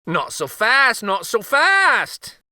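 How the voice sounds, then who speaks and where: A high, wildly swooping old uncle from a children's storybook. He is a folksy jokester who teases everyone and says things twice over. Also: takes it slowly, no room sound, studio quality, exaggerated voice. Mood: bossy teasing. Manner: folksy, excitable, repeats himself. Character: teasing old uncle, a bearded jokester doctor.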